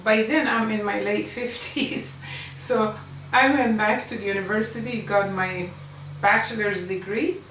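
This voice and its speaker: A woman's voice: mid-range voice, pushed and bright, slight background noise. A woman in her seventies whose first language is Farsi, earnestly telling her life story as an Islamic lecturer, with the odd small laugh. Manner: earnest, emphatic, with little laughs. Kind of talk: personal storytelling talk. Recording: roomy, distant mic with faint ambience.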